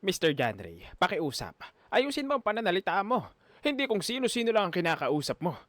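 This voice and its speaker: Man doing a male school principal, audio drama, fairly high voice, stern, formal, suspicious, dry. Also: huge pitch swings, fairly quick, fairly bright tone, no echo, full character voice. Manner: formal, admonishing.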